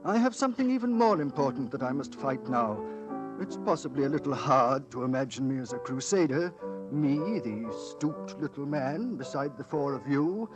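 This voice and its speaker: An actor playing a small stooped occultist in a 1940s radio drama, solemn, self-important and deliberately dramatic. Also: male voice, medium pitch, expressive, natural voice, low-quality recording. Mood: solemn, fervent. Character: small stooped occultist, solemn, theatrical crusader against evil. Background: music.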